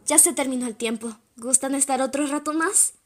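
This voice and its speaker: A woman's voice: high voice, a little fast, close to an everyday voice. A woman playing a girlish teenage schoolgirl working in a shop, in an amateur manga dub. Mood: polite. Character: bossy but shy teenage girl shop worker. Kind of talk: amateur manga fandub acting.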